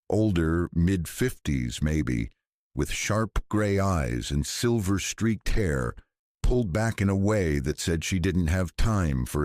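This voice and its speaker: Very deep, slow male narrator. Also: darkish tone, no room sound, studio quality. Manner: measured, serious. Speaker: audiobook narrator. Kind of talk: scripted thriller narration.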